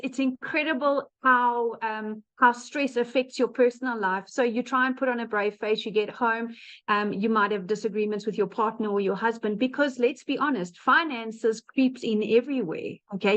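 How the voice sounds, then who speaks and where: A woman's voice: on the high side, a bit bright. A woman in her late thirties with a South African accent gives an informal, earnest testimonial to an online audience, speaking directly and emphatically to listeners. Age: late 30s. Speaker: webinar speaker, digital marketer. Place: online webinar call. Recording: dull lo-fi online call audio.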